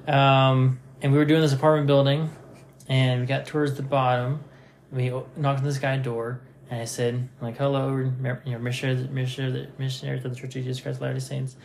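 A man in his thirties from Nevada hosting a casual podcast chat, telling stories in a relaxed, self-deprecating way. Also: mid-range voice, brisk, vocal fry, a little hiss or hum. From Nevada, USA. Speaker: podcast host. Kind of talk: casual conversation, storytelling.

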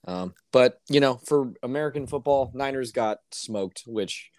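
A man co-hosting a casual sports podcast, hoarse and ranting with exasperation, his voice climbing when worked up. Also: mid-range voice, unhurried, darkish tone, no echo, clean studio sound. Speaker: podcast co-host.

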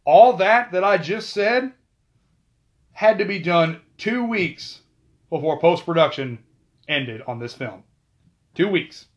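A man casually talking through film trivia, chatty, with asides and quick self-corrections. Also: high-pitched, animated. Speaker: video host.

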